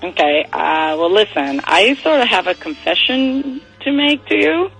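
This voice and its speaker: Bright, lively woman on a call. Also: mid-range voice, measured pace, creaky, husky, faint music behind, poor audio. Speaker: radio show caller. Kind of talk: casual phone conversation.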